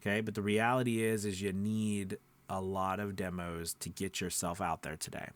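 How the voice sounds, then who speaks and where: A man's voice: sits low, flat delivery, normal speed, no echo. A man giving an informal instructional talk as a coach, conversational and emphatic, often repeating points and checking in with listeners. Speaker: voice-over coach and video host.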